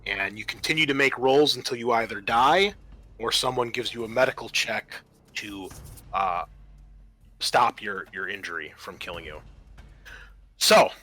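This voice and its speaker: A man's voice: on the low side, measured pace, long pauses, pushed and bright. A man acting as game master, methodically explaining tabletop game rules to his players. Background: music.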